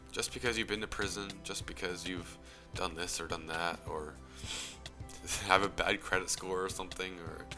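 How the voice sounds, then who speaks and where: Young man, very low voice, earnest, reflective, breathy trailing whispers, music underneath. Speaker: YouTube commentator. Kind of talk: earnest personal commentary.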